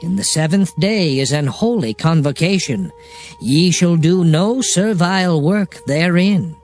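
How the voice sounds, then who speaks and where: A man's voice: a little high, unhurried, a little mellow. A man playing a solemn divine lawgiver, reciting sacred law formally in a dramatized scripture reading. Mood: solemn, authoritative. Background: music.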